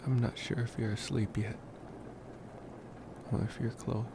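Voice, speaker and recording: A man voicing an affectionate young college vampire boyfriend in an intimate ASMR roleplay, speaking in a soft murmur that dips toward whispers, gentle and halting with long pauses. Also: medium pitch, level tone, creaky, noisy background. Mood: tender, blissful.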